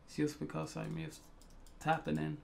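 A man's voice: fairly high pitch, completely flat delivery, moderate pace, creaky, a little hiss or hum. A middle-aged man from Sweden with a Swedish accent, talking through a photo editing tutorial at his computer and thinking aloud with many ums and uhs. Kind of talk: casual screen-recorded tutorial.

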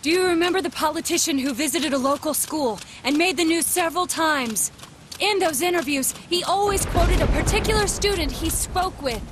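Game role: formal young sleuth, very high voice, analytical and measured, over clear background noise. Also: female voice. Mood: grave, explanatory. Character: composed, analytical teenage girl detective. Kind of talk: video game voice acting.

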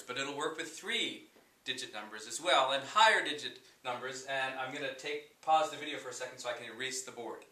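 A man in his forties teaching a chalkboard math lesson to camera, slow and unhurried, full of wonder, with fillers. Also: on the high side, bright, forceful tone. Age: 40s. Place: room with a chalkboard, indoors. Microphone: camera at a distance. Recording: echoey room, voice from a distance.

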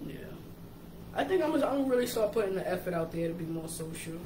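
A woman in her thirties with a New York accent, co-hosting a casual livestream chat in an animated, slangy way. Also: lowish voice. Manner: animated, slangy, quick asides. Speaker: page admin and co-host. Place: indoor kitchen. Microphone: camera or laptop mic. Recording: echoey kitchen with background noise.

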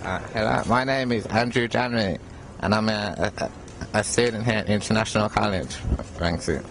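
A man's voice: fairly low pitch, normal speed, background noise. A young man from the United Kingdom, a student, answering hesitantly and repeating words. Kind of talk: casual interview answers.

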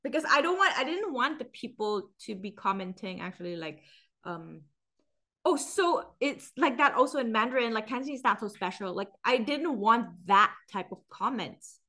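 A young woman from Macau talks through an earphone mic on a video call. Her voice is bright and a touch high. She chats loosely and animatedly as a podcast co-host, often laughing. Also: lively intonation, normal speed. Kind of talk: casual chat reading comments. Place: indoor room, video call split screen.

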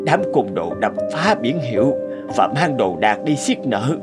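A man voicing a stern middle-aged wealthy creditor in an animated moral tale, grave and deliberate. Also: medium pitch. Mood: grave, reminiscing. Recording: music under the dialogue.

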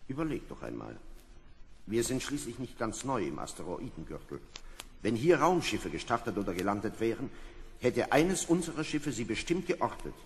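A man playing a measured, analytical astronaut with a commanding manner in an old German radio play. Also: medium pitch, very animated. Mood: calm, reasoning. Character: calm, reasoning male astronaut. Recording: reverberant hall with background noise.

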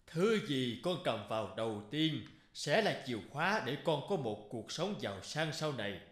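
Commanding deity, cartoon folktale, solemn, echoing, widely swooping intonation. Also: male voice, mid-range voice, sing-song, a bit bright. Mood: solemn prophecy. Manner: solemn, measured, authoritative. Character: solemn, commanding male god of a shrine. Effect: echo reverb. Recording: echoing, reverberant voice effect.

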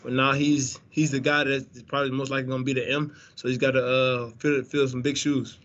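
A young man fields questions at a post-game press conference over a video call, relaxed and rambling, with plenty of fillers and restarts. His voice sits in an even, narrow pitch band. The audio is dull and lo-fi, like a muffled webcam. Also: brisk. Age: young adult. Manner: laid-back, many fillers and restarts. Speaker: college quarterback. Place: indoor press room, branded backdrop.

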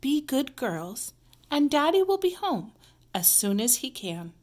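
A woman reading the part of a calm, soothing expectant mother in a children's storybook. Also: sits high, measured pace, pitched above the performer's own voice, full character voice. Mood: reassuring.

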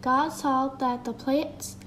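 A woman's voice: high-pitched, fairly even intonation, slow, fairly bright tone, a little hiss or hum. A woman reading a children's creation story aloud, playfully voicing the sound effects.

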